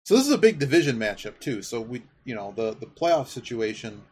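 A man's voice: mid-range voice, big pitch swings, fairly quick, darkish tone. A man hosting a podcast, chatting casually about sports in a rambling, enthusiastic way. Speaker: podcast host. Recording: clearly audible background noise.

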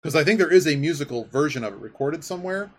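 A man's voice: fairly high pitch, animated, quick pace. A man hosting a podcast, chatting casually about sports in a rambling, enthusiastic way. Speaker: podcast host. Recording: clearly audible background noise.

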